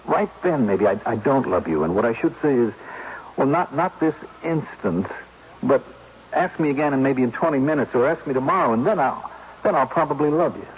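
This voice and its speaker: An American man playing an earnest, humorless, tender policeman husband in a 1970s radio drama, speaking plainly and haltingly. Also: mid-range voice, animated, lightly acted. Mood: apologetic. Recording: dull, noisy old radio broadcast.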